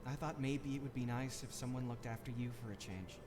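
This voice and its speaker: An actor playing an earnest, hesitant young village boy in an animated fairy tale. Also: mid-range voice, a little fast, natural voice. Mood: earnest, curious. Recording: noisy ambience behind the voice.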